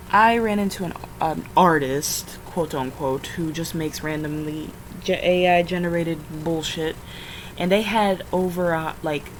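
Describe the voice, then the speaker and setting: A tired young woman with a scratchy morning voice talks in a rambling, casual way. She uses plenty of ums and restarts, and her pitch sits on the lower side. A faint hiss of background noise runs under the recording. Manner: rambling, frequent fillers, self-corrections. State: just woke up, tired. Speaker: vlogger. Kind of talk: casual rambling vlog while drawing.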